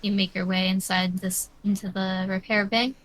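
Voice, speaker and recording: A woman's voice: mid-range voice, level tone, moderate pace, a bit bright. A woman running a tabletop role-playing game as game master, explaining rules and describing scenes in a matter-of-fact way.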